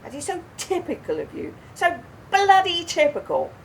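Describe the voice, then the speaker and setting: A woman's voice: very high-pitched, wildly swooping pitch, normal speed, vocal fry, slight background noise, exaggerated voice, rough recording. A woman playing an indignant, confrontational young wife in a radio play, speaking emphatically. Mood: furious. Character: angry, indignant young wife in a roadside quarrel.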